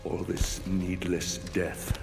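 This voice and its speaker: Deep, monotone, vengeful Roman general. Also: male voice, creaky, exaggerated voice. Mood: cold accusation. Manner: deliberate, cold, accusing. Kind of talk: dramatic video game acting. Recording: echoey hall, loud music behind.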